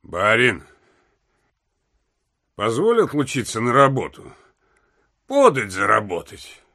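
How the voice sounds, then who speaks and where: A man's voice: mid-range voice, wildly swooping pitch, slow, sharp tone, dry recording, studio quality, heavily acted. An actor playing a pleading peasant serf who drawls to his master in an audio drama. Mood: wheedling. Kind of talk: theatrical audio drama acting.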